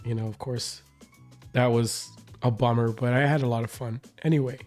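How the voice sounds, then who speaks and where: A man with a hoarse, recovering voice, casually recapping his concert experience with many fillers and restarts. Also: medium pitch, fairly even intonation, moderate pace, darkish tone, soft background music. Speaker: reaction channel host.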